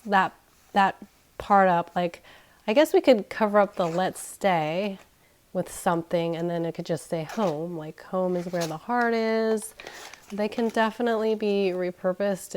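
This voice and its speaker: A woman's voice: mid-range voice, a little mellow. A woman in her thirties, nasal and crackly as she recovers from being sick, chatting casually while unboxing at home. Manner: chatty, thinking aloud, self-deprecating. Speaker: unboxing vlogger. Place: indoors at home, carpeted floor. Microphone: camera pointed down at items, speaker off-screen.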